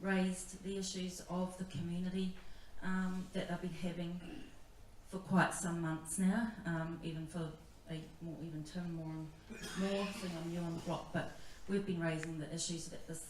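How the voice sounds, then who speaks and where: Lady board member talking at a meeting, kinda halting, echoey room, faint noise behind her. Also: on the low side, flat delivery. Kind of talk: public meeting remarks. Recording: echoey hall, distant mic, faint noise.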